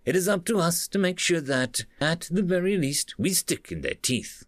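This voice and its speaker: Casting: man as wary male warship commander, alien, formal authority, animated higher register, sci-fi storybook. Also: moderate pace, no echo, soft background music. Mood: commanding.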